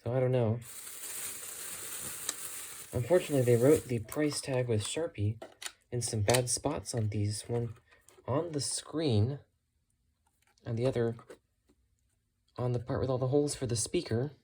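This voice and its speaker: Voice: medium pitch, a little slow, long pauses, warm tone, slight background noise, low-quality recording. A hobbyist vlogger giving a casual, unscripted show-and-tell in a matter-of-fact way, musing tentatively while talking. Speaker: hobbyist vlogger showing thrift-store radio finds.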